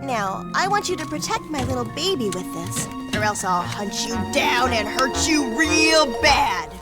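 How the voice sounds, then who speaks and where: A woman's voice: high voice, sing-song, loud music behind the voice. A woman playing a girlish, bubbly gun designer in a video game, doting with baby talk yet able to turn menacing. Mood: sweetly threatening. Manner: baby talk mixed with rapid technical gushing. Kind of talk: video game cutscene acting.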